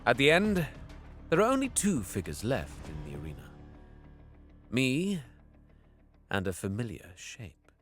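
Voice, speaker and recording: A man's voice: medium pitch, huge pitch swings, unhurried, long pauses, fairly bright tone, soft background music, heavily acted. A man playing a bitter, scheming young alien prince in an audio drama, with theatrical, disdainful delivery that savors cruelty. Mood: brooding, disdainful. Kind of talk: dramatic first-person narration and dialogue, audio drama.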